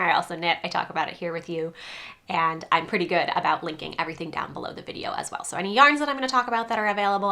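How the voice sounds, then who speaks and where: Woman crochet vlogger with a slightly congested voice from a cold, bright and chatty, rambling and laughing as she goes. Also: fairly low pitch. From New England. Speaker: crochet designer and podcast host. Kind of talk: casual chat to camera.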